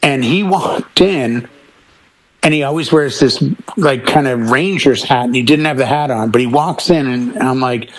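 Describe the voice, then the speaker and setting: A man's voice: medium pitch, moderate pace, low-quality recording. A middle-aged man chatting casually as a DJ on a panel, telling anecdotes and acting out the dialogue in them. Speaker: DJ and talk show panelist. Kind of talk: casual chat and storytelling.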